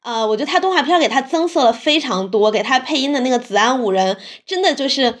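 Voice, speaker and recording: A woman's voice: high-pitched, expressive, quick pace. A woman co-hosting a podcast, giving her opinions in casual, colloquial chat. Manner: informal, colloquial. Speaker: podcast host.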